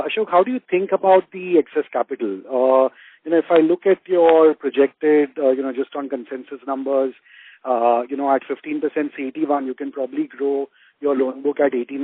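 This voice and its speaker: A man asking questions as an analyst on an earnings call, speaking in a hesitant, halting way. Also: mid-range voice. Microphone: phone conference call. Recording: tinny narrowband phone line.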